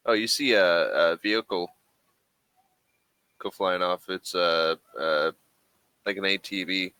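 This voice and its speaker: A man with a low, bright and forceful voice runs a tabletop game. He talks casually in halting stretches, working out the rules aloud as game master. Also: smooth, dry recording.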